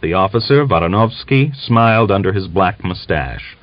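Guy narrating a novel, lowish voice, dull lo-fi recording. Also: expressive, moderate pace, no echo. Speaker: audiobook narrator. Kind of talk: scripted literary narration.

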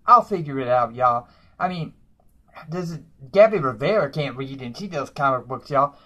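A man's voice: medium pitch, lively intonation, moderate pace, sharp tone, muffled recording. A man playing a boastful, broke woman who lives in a dumpster, tacking "y'all" onto nearly every phrase in a comedy monologue. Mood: brash.

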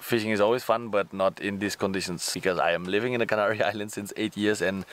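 A man's voice: fairly low pitch, fairly even intonation, fast, fairly bright tone. A man in his forties, not a native English speaker, chatting casually to camera in a fishing vlog outdoors by a lake. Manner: chatty, loose non-native phrasing. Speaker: fishing vlogger. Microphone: camera held close.

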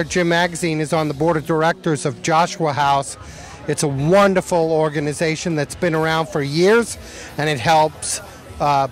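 A man at an outdoor charity event, speaking enthusiastically in a casual thank-you speech. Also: fairly high pitch. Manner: enthusiastic, repeats "awesome". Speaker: law firm partner speaking at a charity event.

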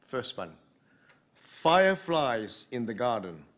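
An older man reading poems aloud in a measured, deliberate way at a podium microphone in a meeting room. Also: medium pitch, very animated, fairly bright tone. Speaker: poetry reader. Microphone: gooseneck podium microphone.

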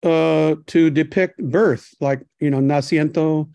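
Halting older man on a dry video call. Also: a little high, fairly even intonation, vocal fry, dark tone, dry recording. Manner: rambling, halting, many fillers. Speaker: podcast co-host. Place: home kitchen. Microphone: webcam on a video call.

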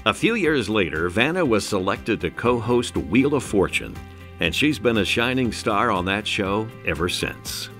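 A low-voiced man narrates a documentary from a script. His delivery is very animated in pitch, and music plays behind him. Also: a little slow.

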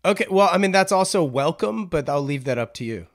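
A man's voice: on the high side, animated, brisk. A man hosting a podcast in a casual, easygoing, friendly interview style. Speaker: podcast host.

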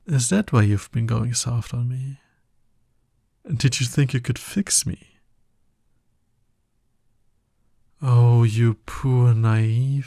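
A man playing a husky, smug, seductive villain. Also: mid-range voice, dark tone, no room sound, clean studio sound. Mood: mocking, condescending. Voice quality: husky, breathy. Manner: slow, condescending, teasing. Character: smug, seductive, dominant male villain courting his hero nemesis. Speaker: voice actor in an ASMR roleplay. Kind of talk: ASMR roleplay monologue.

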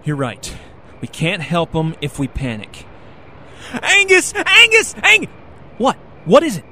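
Young reluctant hero , played by a man: unsure, nervous, very varied pitch, radio play. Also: huge pitch swings, unhurried, bright, forceful tone, slight background noise, exaggerated voice. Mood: anxious, upset. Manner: hesitant, anxious. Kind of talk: fantasy audio drama acting.

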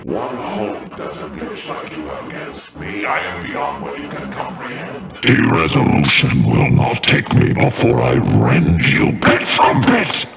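Echoing, sadistic video game virus villain. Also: male voice, medium pitch, creaky, full character voice. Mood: threatening. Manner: theatrical, taunting, drawn-out delivery. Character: menacing, sadistic male virus villain, gloating and doom-laden. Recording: echoing, distant game audio.

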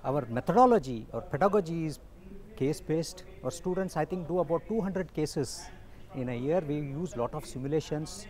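A man's voice: on the high side, animated, normal speed, a little mellow. A man in his fifties, an institute director, explaining things confidently in an interview outdoors on a lapel mic. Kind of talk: interview answers. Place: outdoors on campus stairs.

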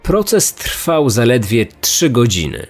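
A man narrates a true-crime story from a script. His voice sits at a medium pitch, with music playing faintly behind it. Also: sing-song, slow. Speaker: narrator, podcast host. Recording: music under the voice. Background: background music.

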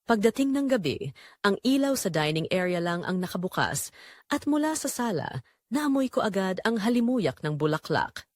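Lively woman narrating romantic drama, dry sound. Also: medium pitch, normal speed, no room sound. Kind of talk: scripted first-person dramatic narration.

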